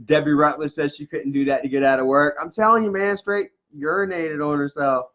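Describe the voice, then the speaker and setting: A man's voice: on the high side, fairly even intonation, fairly quick, fairly bright tone. A man in his thirties chatting casually on a livestream, rambling with lots of "man" and "bro" and frequent laughs. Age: 30s. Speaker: livestream co-host. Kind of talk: casual chat reading live comments. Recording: lo-fi livestream audio.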